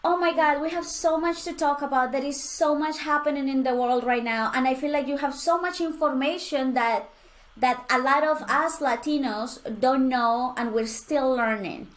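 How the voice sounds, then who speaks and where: A woman's voice: high voice, moderate pace. A woman hosting a podcast interview, effusive and gushing, with frequent laughs. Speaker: podcast host. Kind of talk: conversational interview.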